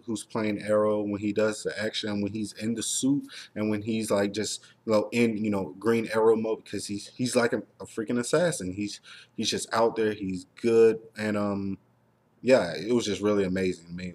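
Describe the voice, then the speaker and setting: A man's voice: lowish voice, fairly even intonation, moderate pace, darkish tone. A man hosting a casual review discussion, talking in a rambling, enthusiastic way with plenty of filler words. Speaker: host of a TV review show.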